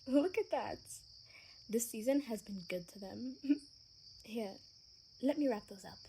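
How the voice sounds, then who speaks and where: Woman playing a soft, slow young vampire girl. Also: fairly high pitch, low-quality recording. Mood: soft, friendly. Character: gentle, sheltered young female vampire, pleading daughter. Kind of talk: ASMR roleplay acting. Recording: faint background noise with chirping crickets.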